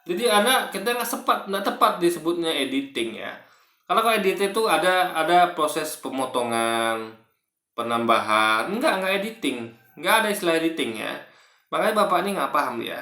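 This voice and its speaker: A man recording a casual reaction video in a bare, echoey room, pushing his points brightly as he stumbles and repeats himself. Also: a little high, big pitch swings. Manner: halting, repetitive, self-correcting. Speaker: video commentator. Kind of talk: casual spoken reaction commentary.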